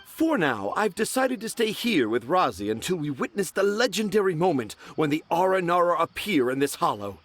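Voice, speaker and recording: A man's voice: a little high, very animated, moderate pace, few pauses, fairly bright tone, soft background music. A man voicing a grandiose, stubborn young dreamer in a video game, speaking in a florid, confident, theatrical way. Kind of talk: video game character acting.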